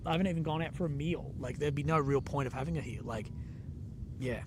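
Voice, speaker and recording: A man's voice: fairly high pitch, fast talker, noisy background. A young man with a blocked-up nose, rambling casually on a live stream and reading out comments, a bit unwell. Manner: relaxed, rambling, lots of fillers and "like". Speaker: livestreamer reporting from a conflict zone. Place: outdoors at night in a war zone.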